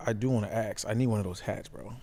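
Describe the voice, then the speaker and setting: A man's voice: lowish voice, quick pace, over a noisy crowd. A man working as a sports analyst, talking casually in slangy language and often repeating his phrases. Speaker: former player turned sports show analyst. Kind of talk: casual sports show discussion.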